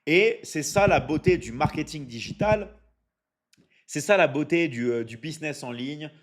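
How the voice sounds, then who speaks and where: A man from France hosts a live stream for his online academy. He talks at a slightly raised pitch, keeps repeating his points, and often stops to ask his viewers "ok?" between filler sounds. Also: moderate pace. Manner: energetic, repetitive, drops many fillers and asks "ok? d'accord?". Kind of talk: live stream sales pitch reading chat.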